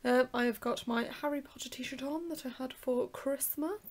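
A young woman with a croaky voice, chatting casually and rambling a little as she vlogs into a handheld camera. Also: sits high, normal speed. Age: young adult. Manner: rambling, chatty, self-correcting. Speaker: vlogger. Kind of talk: casual vlog chat to camera. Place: home bedroom, then a car. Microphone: handheld camera at arm's length. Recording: background noise from the car.

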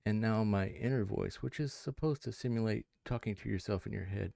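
A man's voice: on the low side, fairly quick, vocal fry, dark tone, dry recording. A middle-aged man presenting a demonstration in a scripted narrating style, on a close microphone at home. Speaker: video presenter. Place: indoors at a home streaming setup. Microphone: close headset or desk microphone.

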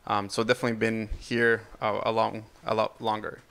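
A man's voice: lowish voice, brisk, vocal fry, clean tone. A man from Venezuela, a guest artist, telling his background in a casual interview and dotting his speech with "uh". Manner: steady, with frequent "uh" fillers. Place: living room.

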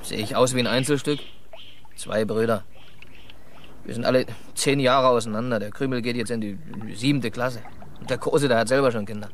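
Man acting an easygoing young guy in radio drama, mid pitch, clear rowboat noise behind him. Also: fairly even intonation, moderate pace, lightly acted. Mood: relaxed. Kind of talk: German radio crime play acting. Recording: clear water and boat noise. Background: rowing boat on water.

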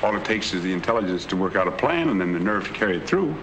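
An actor playing a middle-aged, wealthy American husband, genial with a sly menace, in 1940s film noir style. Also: male voice, deep voice, very animated, racing, fairly bright tone, a little hiss or hum, full character voice. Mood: slyly menacing. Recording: 1940s film soundtrack with background music.

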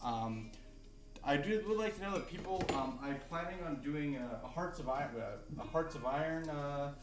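A man's voice: on the high side, expressive, normal speed, noisy background. Rambling young man in an echoey kitchen. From Canada. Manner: rambling, filler-heavy, many restarts. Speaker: cooking streamer. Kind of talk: casual live-stream chat while cooking. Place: home kitchen. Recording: roomy kitchen sound with faint music.

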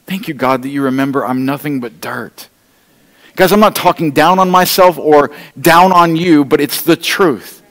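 A middle-aged man preaches to his congregation in an earnest, pleading tone, his voice sitting a bit high for a man. The sound carries the long reverb of a church hall. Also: normal speed, fairly bright tone. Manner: walks the stage holding an open Bible. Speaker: pastor. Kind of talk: sermon. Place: church stage, large room indoors.

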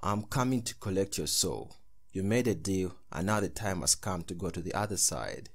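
Man acting a low, ominous soul-collecting demon. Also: expressive, moderate pace, gravelly, exaggerated voice. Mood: menacing. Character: threatening male demon collecting souls.

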